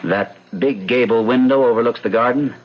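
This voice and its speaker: An actor playing a reclusive, driven mathematician, brusque and self-assured, in a formal old radio drama style. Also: male voice, mid-range voice, normal speed, lo-fi sound, lightly acted. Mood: brisk, curious. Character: reclusive, driven male mathematician, brusque and set in his ways. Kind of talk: old-time radio drama acting and narration.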